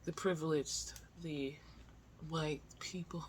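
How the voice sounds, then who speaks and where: Slow-talking vlogger with noisy background. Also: medium pitch, fairly even intonation. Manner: candid, informal, swears casually. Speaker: book vlogger. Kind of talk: casual chatty video diary. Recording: clear background noise, room ambience.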